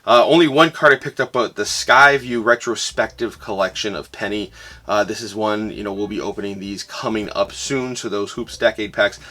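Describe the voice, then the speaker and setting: Bright-voiced man with a tired, fading voice. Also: fairly low pitch, normal speed. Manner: enthusiastic, chatty with frequent "uh" fillers. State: tired, losing his voice. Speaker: host of a sports card collecting channel. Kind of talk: casual recap vlog.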